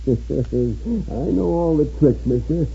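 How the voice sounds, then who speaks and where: An actor playing a sly, merciless old swindler with an American accent in a 1950s radio western. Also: male voice, on the high side, animated, slow, creaky, mellow, breathy edge, exaggerated voice. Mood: smug, cunning. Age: older. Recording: dull, faintly noisy 1950s radio recording.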